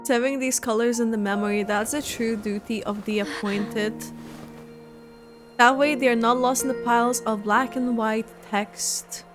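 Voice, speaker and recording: Slow-talking, highish-voiced woman gamer reacting. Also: fairly even intonation. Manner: cooing, giggly, gushing. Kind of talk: casual reaction commentary. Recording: game music faintly behind.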